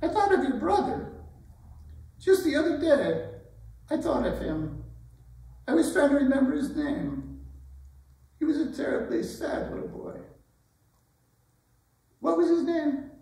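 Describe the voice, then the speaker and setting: Woman acting elderly female teacher, wistful, tender, hesitant, unhurried, stage drama, recorded at a distance. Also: sits high, big pitch swings, mellow, very echoey, exaggerated voice. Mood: sorrowful, wistful. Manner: hesitant, musing, tender, asking questions. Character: gentle elderly woman, retired schoolteacher and camp survivor, wistful. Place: indoor arts center stage.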